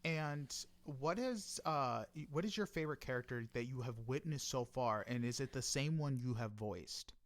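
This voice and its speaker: A man with a medium-pitched voice conducts a casual interview. His phrasing is tentative, circling a little before each question lands. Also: lively intonation, normal speed, few pauses.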